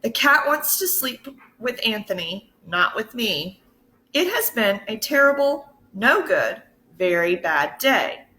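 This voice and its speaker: A woman teacher reading a picture book aloud to children in an expressive, deliberate way, pausing to put questions to her listeners. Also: fairly high pitch, rough recording. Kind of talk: storybook read-aloud.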